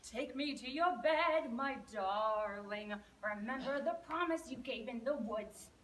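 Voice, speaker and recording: A woman voicing a small green male frog who pleads in a croaky, sing-song voice. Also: fairly high pitch, unhurried, roomy sound, recorded from a distance, slight background noise, natural voice, poor audio. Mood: pleading sing-song.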